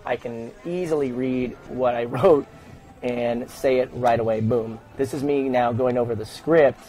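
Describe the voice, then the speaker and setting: Middle-aged American male, mid-pitched, relaxed interview storytelling, explains things step by step and acts out bits of dialogue. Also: a little slow, soft background music, low-quality recording. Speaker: sports public address announcer being interviewed. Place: open indoor lobby studio at a desk.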